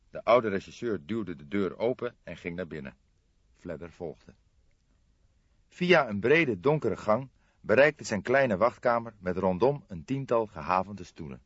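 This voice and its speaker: A man's voice: fairly low pitch, slightly rough, dry recording, highs cut off. A man reading scripted literary narration as an audiobook narrator.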